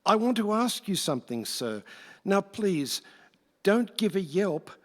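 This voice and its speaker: A man plays a fallen Roman emperor turned meek, earnest and full of wonder. His voice is notably high and he takes his rhymes slowly, halting with theatrical politeness. It is a radio play heard in a reverberant hall. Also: sing-song. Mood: humble, earnest. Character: humbled former Roman emperor Nero, earnest, wonderstruck, grateful. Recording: echoey hall with long reverb.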